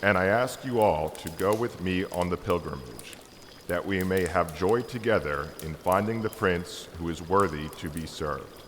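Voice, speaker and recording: Devout, hopeful Magus male reader, low-pitched, earnest and solemn, dramatic Christmas story reading, echoing hall. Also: normal speed, a bit bright. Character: Artaban, devout, hopeful Persian Magus and stargazer. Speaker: amateur church reader. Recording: echoey hall reverb with background noise.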